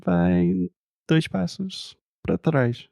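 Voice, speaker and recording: American guy speaking learner portuguese, super gravelly and dark, slow, fillers everywhere, dry mic. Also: sits high, dry recording. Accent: American English. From United States. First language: English. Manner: slow, searching for words, says "tipo" often. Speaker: podcast co-host. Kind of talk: casual conversational chat.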